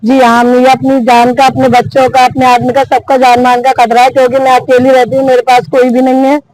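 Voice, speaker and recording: A woman's voice: high-pitched, little pitch movement, brisk, a little mellow, poor audio. A woman answering a reporter's questions directly and plainly. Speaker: complainant.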